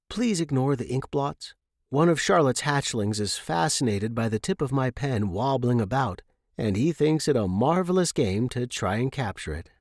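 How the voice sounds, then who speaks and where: A man's voice: medium pitch, darkish tone, no echo, studio quality. Man acting formal, stubborn young Victorian scholar. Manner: formal, articulate, firm. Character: stubborn young Victorian scholar writing an affectionate, defiant letter. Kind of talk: dramatic letter reading.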